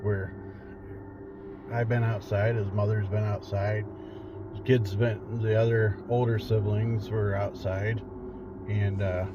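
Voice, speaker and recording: Middle-aged man storytelling over loud music. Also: fairly low pitch. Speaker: paranormal investigator telling his own story. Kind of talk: casual spooky storytelling. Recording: dull lo-fi sound under loud music.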